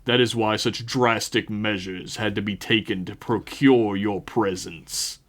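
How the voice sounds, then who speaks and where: A man voicing a huge, calm, menacing cult reverend for a tabletop horror game, with a deep guttural voice and solemn, measured delivery. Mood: calm menace. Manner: slow, measured, solemn preaching.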